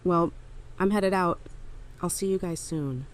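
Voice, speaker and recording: A woman voice-acting a caring, plain-spoken survivor in an audio drama, with a gravelly voice. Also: on the low side, unhurried, natural voice. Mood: fond. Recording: noticeable background noise and ambience.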